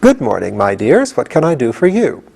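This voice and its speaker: A man's voice: medium pitch, wildly swooping pitch, normal speed, creaky, a little mellow, exaggerated voice. A man voicing a genial, easily astonished, fatherly gate guardian in an audiobook, with a pleasant, patronizing manner. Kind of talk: audiobook character voice.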